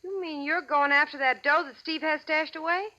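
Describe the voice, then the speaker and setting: An actress playing a hard-boiled, sardonic American gangster's moll with tough, sarcastic slang, in 1940s radio crime drama style. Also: female voice, high voice, fairly even intonation, normal speed, bright, forceful tone. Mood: disapproving. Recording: dull, band-limited old radio recording.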